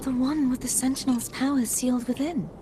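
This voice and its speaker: An actress voicing a gentle, mysterious young maiden in a video game, speaking in polite, measured, formal phrases. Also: female voice, high voice, steady tone, background noise, lightly acted. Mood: searching, puzzled. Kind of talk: scripted video game voice acting. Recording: music under the voice.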